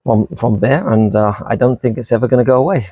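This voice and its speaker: A man's voice: mid-range voice, fast talker, mellow, breathy edge, dry recording. A middle-aged man from England with a British accent tinged slightly with American, chatting casually and unscripted as he is interviewed. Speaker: vlogger being interviewed.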